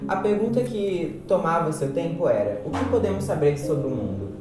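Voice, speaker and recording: A man's voice: a little high, sing-song, moderate pace. A Brazilian man playing a patient male philosophy teacher who explains things didactically in an amateur radio drama. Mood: patient, explanatory. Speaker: actor in a student radio drama. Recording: very echoey, distant, loud music behind.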